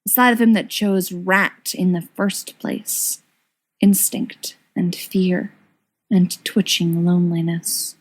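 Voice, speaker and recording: A woman reading a story aloud as an expressive scripted narration. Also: on the low side, slow pace, breathy edge. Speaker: audiobook reader of fan fiction.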